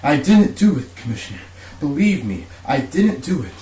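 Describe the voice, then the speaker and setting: Distressed young suspect, acted by a man for radio drama: pleading, agitated, widely swinging pitch, echoey room. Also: very animated, normal speed, mellow. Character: distressed young man accused of killing his father. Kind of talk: amateur radio drama acting. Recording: roomy echo, faint hiss.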